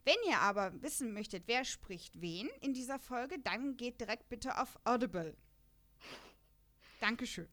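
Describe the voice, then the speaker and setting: A young woman hosting a casual chat podcast, talking in a lively, bubbly way and laughing often. Her voice sits a little high. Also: unhurried. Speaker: podcast host.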